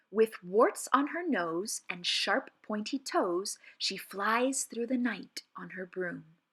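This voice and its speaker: A woman reading children's poetry aloud in an expressive, theatrical and suspenseful way, with deliberate phrasing. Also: mid-range voice. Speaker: children's read-aloud presenter.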